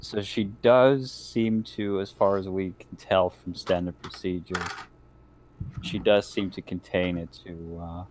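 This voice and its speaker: A man's voice: low-pitched, steady tone, measured pace, background noise. A man chatting casually as a player in a tabletop roleplaying game.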